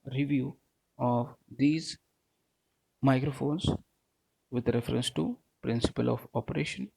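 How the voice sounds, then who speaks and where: A man's voice: medium pitch, creaky, slightly rough, no echo, poor audio. A man giving a technical lecture in a didactic way, often punctuating his points with short check-in words. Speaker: teacher, lecturer.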